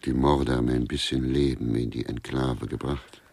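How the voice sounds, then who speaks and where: Very deep, husky, wry writer. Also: male voice, moderate pace, no echo. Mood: wry, musing. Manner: pedantic, deadpan, relishing lists. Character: wry male writer with writer's scruples, gossiping about suspects. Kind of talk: German radio play dialogue.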